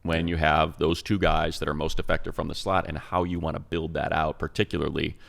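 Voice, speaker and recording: A man's voice: extremely low pitch, level tone, brisk, gravelly, fairly bright tone. A man co-hosting a sports talk show, breaking down football in a rambling, restart-heavy way. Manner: rambling analysis with many restarts. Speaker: radio play-by-play announcer and co-host.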